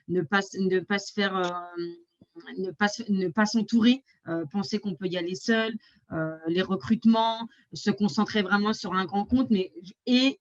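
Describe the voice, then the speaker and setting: A woman's voice: mid-range voice, no echo, muffled recording. A woman from France speaking as an export advisor on a webinar panel, with many fillers and restarts.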